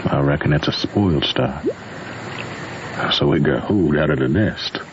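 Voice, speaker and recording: A man's voice: rumbling low, measured pace. A man playing a kindly, wary runaway with a Southern accent, talking in folksy dialect in an old radio drama. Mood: musing. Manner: folksy, gentle dialect talk. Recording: muffled noisy old radio recording.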